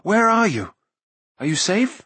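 A man's voice: mid-range voice, expressive, normal speed, slightly rough, no room sound. A man voicing an earnest, caring young hero in an audiobook, with a concerned manner. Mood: alarmed.

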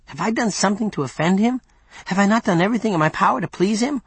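A man's voice: high voice, fast, husky, dry recording, voice pushed up, full character voice. A man voicing a small, eager, talkative servant who fawns on his masters in an audiobook story. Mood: wounded. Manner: obsequious, talkative, excitable. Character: small, eager, chattering, fawning servant runt. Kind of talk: audiobook character voice.

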